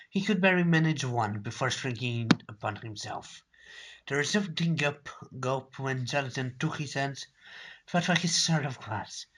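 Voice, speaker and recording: A man narrates a story in a bright, forceful voice at a middling pitch. He reads dramatically, as an amateur would. Now and then he stumbles over a word and says it again. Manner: stumbles and repeats words while reading.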